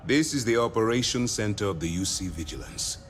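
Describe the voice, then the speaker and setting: A composed military commander from a space game, voiced by a male actor. His voice sits fairly low and moves expressively as he gives a formal, deliberate briefing. There is faint ambient noise behind him. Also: animated, lightly acted. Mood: formal, purposeful. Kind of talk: video game character dialogue.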